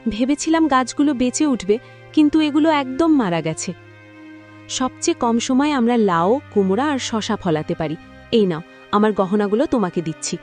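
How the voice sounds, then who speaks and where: Actress as practical cartoon farmer's wife, earnest, supportive, animated highish voice, music behind. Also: female voice, normal speed, close to an everyday voice. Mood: resolute. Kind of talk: cartoon voice acting.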